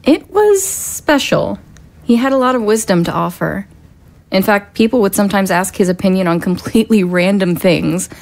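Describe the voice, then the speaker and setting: A woman plays a young political aide in an audio drama, agreeable and composed. Her voice sits at a medium female pitch and sounds natural and conversational. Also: darkish tone, lightly acted. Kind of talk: audio drama dialogue acting.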